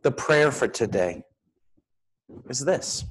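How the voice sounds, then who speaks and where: A middle-aged man, a priest, reading a children's Bible story aloud in an expressive, deliberate way at a desk in a small room. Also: medium pitch, a little hiss or hum, low-quality recording.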